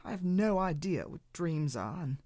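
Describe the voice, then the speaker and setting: A man's voice: fairly high pitch, expressive, moderate pace, a little mellow, dry recording, studio quality, voice pushed up, close to an everyday voice. A man voicing a timid little male cloud in a bedtime story, anxious and stammering. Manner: stammering, breathless worry.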